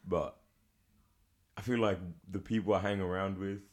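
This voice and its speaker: Laid-back young man with a low voice. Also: little pitch movement, normal speed, darkish tone. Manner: laid-back, laughs often, restarts his sentences. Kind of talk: casual chat.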